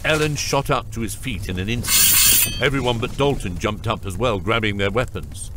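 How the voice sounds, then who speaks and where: A man's voice: lowish voice, normal speed, noisy background. A man reading scripted narration for a fantasy audiobook.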